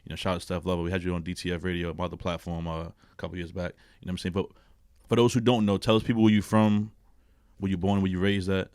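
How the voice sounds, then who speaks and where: A man's voice: low voice, level tone, fast, darkish tone, clean tone, no echo, clean studio sound. A man hosting a podcast, asking questions in a laid-back way and leaning on filler phrases. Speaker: podcast host. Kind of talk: casual interview.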